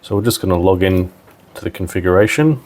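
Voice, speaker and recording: A man's voice: deep voice, animated, quick pace, darkish tone. A man giving a casual, plain-spoken step-by-step technical demonstration as a tutorial presenter.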